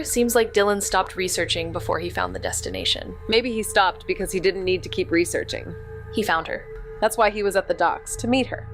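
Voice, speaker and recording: An actress playing an amateur investigator in an audio drama, piecing clues together in a deliberate, emphatic way. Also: female voice, medium pitch, close to an everyday voice.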